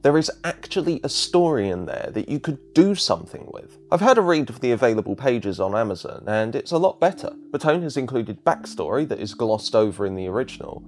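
A man's voice: medium pitch, darkish tone, a little hiss or hum. A man narrating a scripted video essay as its presenter.

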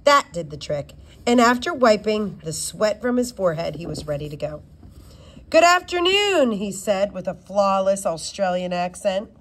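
A woman reading a children's novel aloud in an expressive, informal way, sometimes stumbling over words. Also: mid-range voice, normal speed, low-quality recording. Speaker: reader of a children's book.

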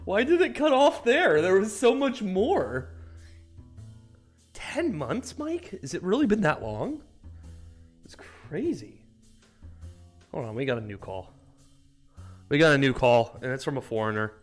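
A young man chatting casually on a live stream from a small room, profane and excitable, bursting into loud outbursts. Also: high-pitched, measured pace, long pauses, faint music behind.

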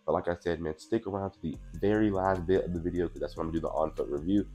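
Deep-voiced young man, congested and chatty. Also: fairly even intonation, racing, dark tone, faint music behind. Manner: laid-back, chatty, says "you know what I'm saying" a lot. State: congested from allergies. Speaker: sneaker vlogger. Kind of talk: casual unboxing review. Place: small indoor room with purple lighting.

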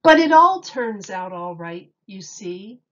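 Slow-paced woman reading to young children. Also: medium pitch, big pitch swings, fairly bright tone, lo-fi sound. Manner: slow, deliberate, dramatic emphasis on key words. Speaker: teacher reading a picture book aloud. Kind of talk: expressive children's read-aloud.

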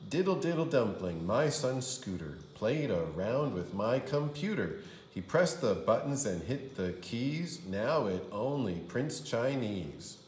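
Male kindergarten teacher for children's rhyme read-aloud: theatrical and sing-song, swooping pitch, recorded in a roomy space. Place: indoor room. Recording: roomy indoor echo.

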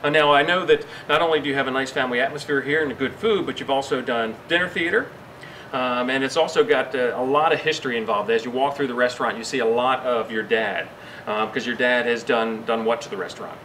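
A man's voice: mid-range voice, fast talker, sharp tone, slight background noise, rough recording. A middle-aged man hosting and narrating an informal guided tour. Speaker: host and narrator of a restaurant tour.

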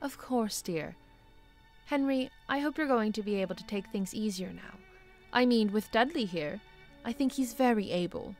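A gracious, affectionate wife played by a woman speaks in a slightly high voice. She is polite and gently cheerful, in a radio-style drama with soft music behind. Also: moderate pace, natural voice. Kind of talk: film-adaptation audio drama acting.

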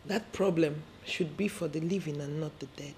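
A woman gives voice to a summoned mother spirit in a film drama, speaking with final, solemn authority. Her voice is mellow and dark rather than bright. She takes her time, weighing every word. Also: deep voice, a little hiss or hum. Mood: stern. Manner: slow, solemn, commanding.

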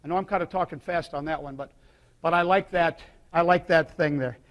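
A man's voice: a little high, fairly quick, fairly bright tone. An older man coaching a clinic, talking bluntly and rambling through plays in a hotel ballroom, tacking "all right?" onto his points. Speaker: football coach giving a clinic talk. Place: hotel ballroom with projector screen, live audience.

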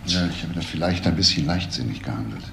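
Low-voiced probing detective. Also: male voice, expressive, fast, vocal fry, noisy background, heavily acted. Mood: calm, considerate. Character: male police detective, probing, insistent yet considerate. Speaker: actor in a crime radio play. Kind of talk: German crime radio drama acting.

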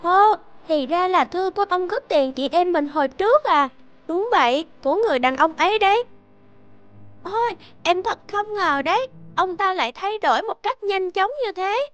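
A woman voicing a kind, earnest young sister in an animated morality tale. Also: very high-pitched, moderate pace, faint music behind.

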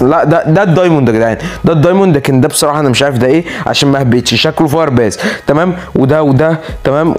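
Fast-talking excitable Egyptian young man. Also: fairly high pitch. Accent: Egyptian Arabic. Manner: excitable, dramatic outbursts, playful threats. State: tired from no sleep. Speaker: gaming YouTuber. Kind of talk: casual game account showcase commentary.

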